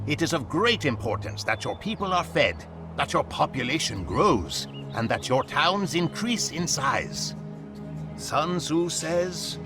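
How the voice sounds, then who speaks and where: A solemn mentor of war in a strategy game. His speech is formal and instructive, addressed to a commander, with lively rises and falls in pitch. Music plays clearly behind him. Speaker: narrator and tutor. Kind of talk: scripted game cutscene narration.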